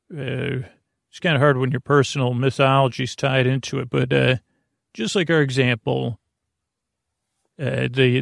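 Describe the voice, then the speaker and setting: A man speaks with a gravelly, creaky voice at a medium pitch. He hosts a bedtime podcast in a rambling way, trailing off and circling back. The recording is dry and close. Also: no room sound. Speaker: podcast host.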